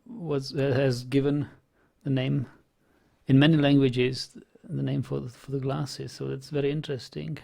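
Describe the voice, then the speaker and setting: A man talks slowly through his game, pausing and restarting his sentences. His voice has a gravelly, creaky edge and sits at an ordinary pitch. He muses aloud and is in no hurry. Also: little pitch movement, dark tone. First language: Czech. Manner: hesitant, musing, many ums and restarts. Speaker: let's play host. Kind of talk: gameplay commentary.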